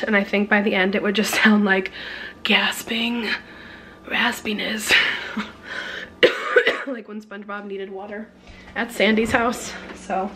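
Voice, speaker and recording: A young woman in her twenties, hoarse and losing her voice, chatting casually to a handheld camera in her kitchen with lots of giggles and fillers. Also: medium pitch, noisy background. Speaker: beauty vlogger. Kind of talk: casual vlog chat. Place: home kitchen. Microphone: handheld camera at arm's length.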